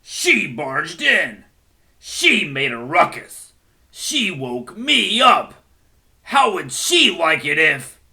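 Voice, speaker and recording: A woman's voice: deep voice, sing-song, drawn-out words, bright, forceful tone, voice pushed up, heavily acted. A woman voicing a grumpy male bear in a picture book, huffy and put-upon. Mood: indignant.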